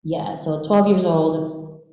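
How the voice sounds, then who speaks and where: A woman's voice: low voice, fairly even intonation, slow pace, dark tone. A woman in her twenties from the Philippines, a native Bisaya speaker, telling her story casually and informally on a panel. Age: 20s. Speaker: panelist, dancer. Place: large echoey room at a live panel event. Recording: very echoey hall, slightly muffled.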